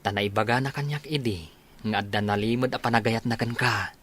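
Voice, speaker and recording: A man's voice: on the low side, steady tone, a little fast, a bit bright. A man with an Ilocano accent playing a frank young village friend in a comedy radio drama. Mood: frank, teasing.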